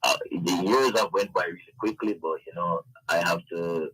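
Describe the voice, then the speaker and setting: A man speaks as a guest in a casual radio interview. His voice sits fairly low and has a rough, husky texture. He talks conversationally, padding his phrases with "you know". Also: moderate pace, fairly bright tone, poor audio. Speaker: interview guest, event organiser.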